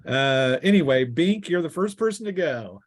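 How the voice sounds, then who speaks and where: A man acting as game master, improvising narration for a tabletop game and often halting to search for words. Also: fairly high pitch, expressive.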